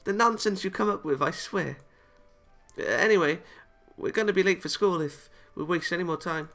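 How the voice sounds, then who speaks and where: Guy putting on a grumpy flustered anime girl, jealous type, higher and sharp, music faint behind. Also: normal speed. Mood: flustered, annoyed.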